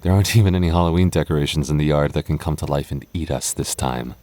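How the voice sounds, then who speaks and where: A man's voice: extremely low pitch, fast, dark tone. A man playing a stammering goth boyfriend. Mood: reassuring. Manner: stammering, repeating words. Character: nervous young goth boyfriend, tender and protective. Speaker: voice actor. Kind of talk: ASMR boyfriend roleplay.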